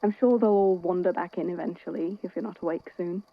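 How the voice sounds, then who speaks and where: A woman playing a weary, caring friend in an audio drama, talking in a hesitant, conversational, gently wry way. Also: lowish voice, fairly even intonation, fairly quick, vocal fry, dry recording, lo-fi sound. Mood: gently chiding. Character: worried, weary, caring friend at a hospital bedside. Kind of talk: naturalistic audio drama acting.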